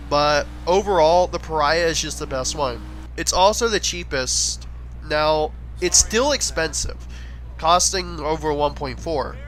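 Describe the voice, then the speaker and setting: A man with a fairly high, bright, forceful voice that sounds a little stuffy from a head cold. He talks casually and eagerly, like a gaming creator recommending his favorites. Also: slight background noise, low-quality recording. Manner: chatty, enthusiastic recommending. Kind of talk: casual gameplay commentary voice-over.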